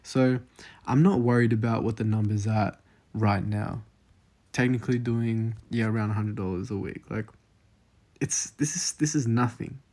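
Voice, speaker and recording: A man's voice: lowish voice, normal speed, darkish tone. A twenty-year-old Australian man casually walking through his investment numbers, thinking aloud with frequent fillers. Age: 20s. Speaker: investing vlogger. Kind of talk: casual screen-share walkthrough.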